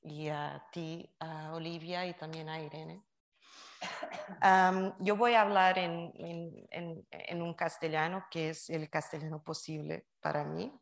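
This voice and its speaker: Portuguese-accented woman lecturer, low voice, slow deliberate reading pace. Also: little pitch movement, gravelly, slight background noise. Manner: careful, measured reading with some restarts. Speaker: guest lecturer, anthropologist. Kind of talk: academic lecture read from a prepared text. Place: university lecture room.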